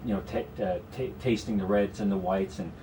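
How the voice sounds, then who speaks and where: A man's voice: deep voice, steady tone, brisk, recorded from a distance, background noise. A man with a Canadian accent, a guest strategist explaining investments in a radio studio conversation, with many "you know"s. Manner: explanatory, talks in long runs with frequent "you know".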